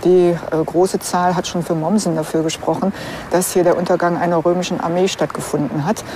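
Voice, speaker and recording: Low-voiced woman, noisy location recording. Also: little pitch movement, a little fast, mellow. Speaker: archaeologist interviewed in a documentary. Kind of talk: explaining finds, unscripted interview.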